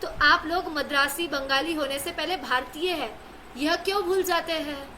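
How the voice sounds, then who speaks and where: A woman's voice: high-pitched, normal speed, sharp tone, slight background noise. A young woman teaching a scripture class, explaining each point with rhetorical questions she answers herself and frequent check-ins with her listeners. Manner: asks and answers her own questions, repeats "है ना". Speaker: religious teacher.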